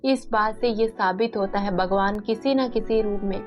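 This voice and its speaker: A woman's voice: medium pitch, moderate pace, darkish tone, highs cut off, soft background music. A woman storyteller delivering scripted narration of a family tale.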